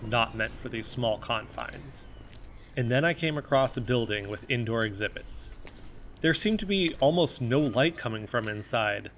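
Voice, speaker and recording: A man's voice: medium pitch, fairly bright tone. An actor playing an earnest, brooding amateur investigator who narrates his own conspiracy-minded story in an audio drama. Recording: faint background noise.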